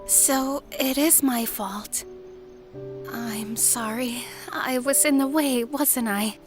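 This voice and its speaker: A gentle, devoted high school girl, played by a woman, speaks in a high voice and takes her time over each phrase. She sounds tender and hesitant, as in a romantic story drama, with faint music underneath. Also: takes it slowly. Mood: guilty, sad. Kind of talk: scripted romantic drama dialogue.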